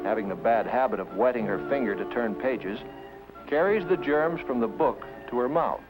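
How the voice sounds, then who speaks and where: A man's voice: mid-range voice, rough recording. A man delivering scripted 1950s educational film narration in a didactic, emphatic manner, posing rhetorical questions, on an old film soundtrack.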